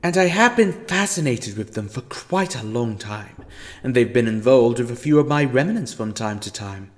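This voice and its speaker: A man's voice: medium pitch, animated, normal speed, close to an everyday voice. A man playing a grandiose, monologuing villain. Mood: weary, candid. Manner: grandiose, monologuing, mock-apologetic. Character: scheming, theatrical male villain who toys with a captive human. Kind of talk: fan audio drama acting.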